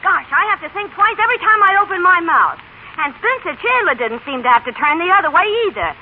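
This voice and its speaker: An actress playing a restless, brassy young working-class wife who pleads and argues in slang, in 1930s radio drama style. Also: female voice, very high-pitched, very animated, quick pace. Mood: defiant. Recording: noisy, tinny 1930s radio broadcast.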